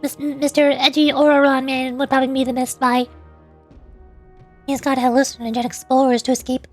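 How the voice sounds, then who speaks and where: A woman's voice: sits high, flat delivery, normal speed, soft background music. A young woman streamer reading game dialogue aloud and chatting playfully between lines.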